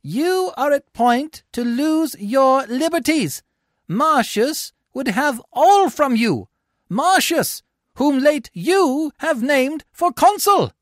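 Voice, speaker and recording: A reader putting on a shouting, accusing Roman tribune. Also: medium pitch, animated, normal speed, hardly any pauses, no echo, clean studio sound, voice pushed up, lightly acted. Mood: urgent, rousing. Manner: declamatory, accusing. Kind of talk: theatrical Shakespeare read-aloud.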